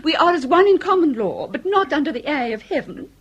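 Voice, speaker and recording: An actress playing an anxious, genteel Victorian wife with an English accent and a fluttering, over-polite, halting manner, in period comedy radio drama. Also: female voice, sits high, sing-song, highs cut off. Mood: anxious, ashamed.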